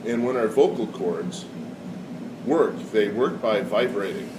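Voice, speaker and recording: A somewhat low-voiced man with a cold talks through a science demonstration, pausing on fillers as he explains. He sounds set back from the microphone in an echoey room. Loud air conditioning hums steadily behind him. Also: distant mic, noisy background. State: has a cold. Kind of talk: casual classroom demonstration.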